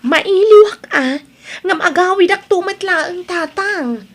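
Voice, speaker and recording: A woman's voice: extremely high pitch, slow pace. A woman playing a headstrong, restless young wife in an audio drama, with an Ilocano Filipino accent. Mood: defiant. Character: headstrong restless young wife wanting city life, defiant.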